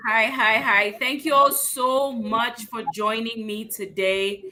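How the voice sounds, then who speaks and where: A woman's voice: on the high side, fairly even intonation, takes it slowly, bright, forceful tone, a little hiss or hum. A woman from Cameroon hosting an online talk show, welcoming viewers and guests with enthusiastic, upbeat energy. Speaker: host.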